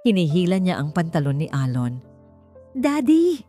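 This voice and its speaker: A woman's voice: on the low side, animated, normal speed, darkish tone. A woman with a Filipino accent reading a scripted, dramatic romance story as narrator. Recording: music bed under the voice. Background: background music.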